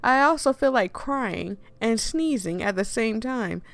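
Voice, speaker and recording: A woman's voice: a little high, measured pace. Playful chatty woman gamer. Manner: playful, chatty, expressive reactions. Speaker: host playing a game for viewers. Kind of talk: casual playthrough commentary.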